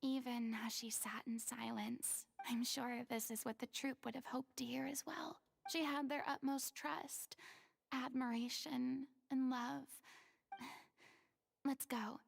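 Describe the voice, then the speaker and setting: A woman voicing a young female investigator in a game, speaking in a hushed, half-whispered way. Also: sits high, steady tone, slow pace, gravelly, slight background noise, heavily acted. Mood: solemn.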